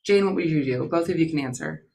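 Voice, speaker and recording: A woman's voice: low-pitched, fast, darkish tone, slight background noise. A woman with an American accent hosting live talk radio in a casual, bantering style. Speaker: radio show host.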